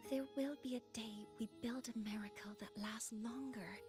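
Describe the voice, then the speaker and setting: Actress as a high-voiced, hopeful young woman over loud music. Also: fairly even intonation, normal speed, lightly acted. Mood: wistful, hopeful. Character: gentle, hopeful young woman scientist with prosthetic legs. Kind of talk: video game cutscene acting. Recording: game music behind the voice. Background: loud game music.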